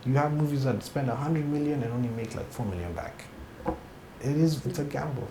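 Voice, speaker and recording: A man's voice: medium pitch, brisk, background noise. Blunt, frank man in an echoey room. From Kenya. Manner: frank, emphatic, blunt opinions. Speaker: TV series director. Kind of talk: sit-down interview.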